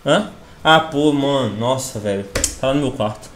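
A young man from Brazil chatting casually in a home vlog, excitable and laughing, with a stuffy nose from a cold. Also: mid-range voice, moderate pace, a little hiss or hum. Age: young adult. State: congested with a cold. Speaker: gaming youtuber. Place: small room at home.

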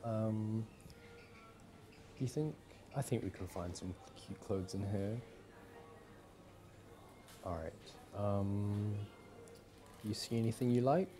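A young man performing casual ASMR boyfriend roleplay as an easygoing, affectionate partner, talking in a hesitant, halting way. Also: lowish voice, dark tone, faint music behind. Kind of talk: ASMR boyfriend audio roleplay, casual one-sided chat. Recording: clearly audible background noise.

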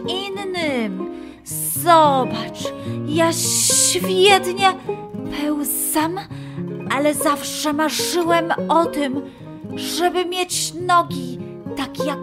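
A woman's voice: sits high, voice pushed up. A woman voicing a kindly, encouraging male snake in a children's story. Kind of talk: children's audio story acting. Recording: music under the voice.

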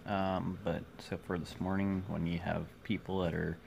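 A man in his forties chatting casually to viewers in a halting, rambling vlog, recorded on a phone indoors. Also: low-pitched, level tone, darkish tone. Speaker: vlogger. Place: small cluttered room indoors. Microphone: phone at arm's length. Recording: noisy phone recording, clear background noise.

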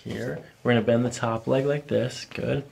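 A middle-aged man with a heavily creaky, gravelly voice gives calm, reassuring step-by-step instructions as a chiropractor at work. His manner is gentle. Faint noise sits behind him in the treatment room. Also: mid-range voice, normal speed. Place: small office treatment room, indoors. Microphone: microphone on boom arm near the table.

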